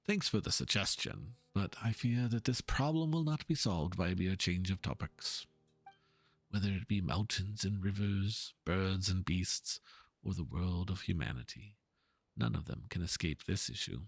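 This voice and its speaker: A man playing an earnest, pompous painter in a dramatic reading of game dialogue, speaking in a florid, halting, theatrical way. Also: deep voice, creaky, slightly rough, dry recording, full character voice. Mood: troubled, musing. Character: theatrical, earnest male painter, pompous and fervent about art.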